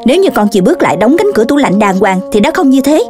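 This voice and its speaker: A woman's voice: fairly high pitch, sing-song, very fast. A woman with a southern Vietnamese accent voicing a strict, motherly cartoon dog who admonishes her puppy. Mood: stern. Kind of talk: children's cartoon dubbing.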